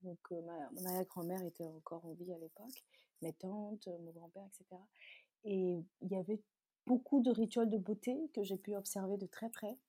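Woman, soft dark voice, explanatory. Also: mid-range voice, fairly even intonation, moderate pace, slightly rough, no room sound. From Chad. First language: Tazaga. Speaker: interview guest, beauty brand founder. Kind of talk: conversational interview answers.

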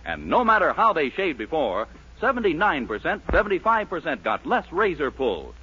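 An American man announcing in 1940s radio style, reading scripted ads and narration with polished, emphatic salesmanship and a husky voice. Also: on the high side, huge pitch swings, normal speed, pushed and bright. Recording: lo-fi vintage 1940s radio broadcast.

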